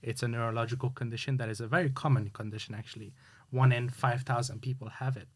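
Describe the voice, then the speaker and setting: A man talks through his story as an interview guest. His voice sits at an ordinary middle pitch. He speaks earnestly and halts often, with plenty of fillers and restarts. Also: steady tone, fast. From Hungary. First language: Hungarian. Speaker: guest, youth sports coach. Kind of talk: casual interview chat.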